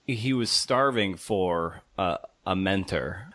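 Slightly low-voiced male podcast interviewer. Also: slow pace, bright, forceful tone. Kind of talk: conversational interview questions.

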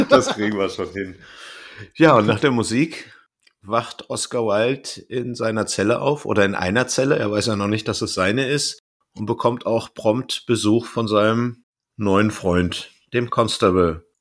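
A man's voice: on the low side, measured pace. A man casually retelling and commenting on a story in colloquial words as a podcast co-host.

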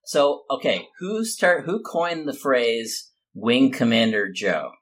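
A man's voice: medium pitch, expressive, slow pace, a bit bright, slightly rough. A man hosting a casual podcast chat in a joking, teasing manner. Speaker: podcast host.

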